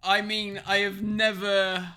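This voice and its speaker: A man with a high-pitched, strikingly bright and sharp voice co-hosts a commentary. He chats casually and colloquially, with the odd swear word. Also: level tone, slow, clear, resonant tone. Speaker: podcast co-host.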